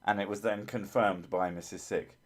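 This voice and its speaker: A man's voice: fairly low pitch, fairly even intonation, moderate pace. A man hosting a livestream in casual chat, rambling and self-mocking, with a voice others call soothing. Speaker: livestream host.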